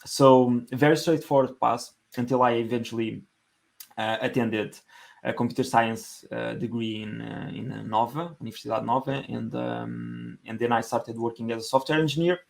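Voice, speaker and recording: A male podcast guest with a Portuguese accent and plenty of vocal fry. He speaks conversationally at an average male pitch, often stalling and repeating words as he shapes his answers. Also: fairly even intonation, normal speed. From Portugal, Lisbon area. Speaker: podcast guest, engineering manager.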